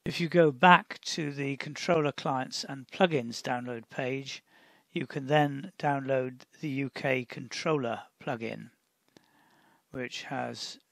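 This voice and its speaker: A man narrating a step-by-step software tutorial in a deliberate, instructional way, often pausing mid-sentence. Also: medium pitch, creaky, no room sound. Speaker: tutorial presenter.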